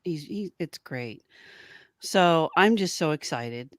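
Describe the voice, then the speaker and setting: A woman's voice: sits low, lively intonation, takes it slowly, no echo, studio quality. A woman co-hosting a podcast, chatting casually and informally, rambling along with a giggly streak. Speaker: podcast host. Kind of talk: casual live-stream chat.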